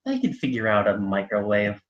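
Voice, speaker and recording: A restless teenage boy played by a man in a film table read, with lively, swinging intonation. He is defensive, evasive and sardonic. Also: fairly low pitch, fairly quick, dull audio.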